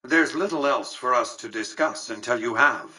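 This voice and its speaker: An actor playing a calculating, imperious casino tycoon in a video game, speaking formally and precisely with condescension. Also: male voice, medium pitch, moderate pace, bright, forceful tone, breathy edge, distant mic, poor audio. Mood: cool, measured. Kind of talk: scripted video game dialogue.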